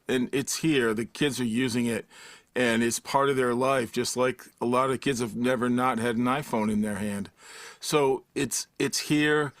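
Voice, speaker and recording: Blunt older man, medium pitch, dry sound. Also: a bit bright, no room sound. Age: 60s to 70s. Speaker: panelist, audio drama creator. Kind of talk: casual, opinionated discussion.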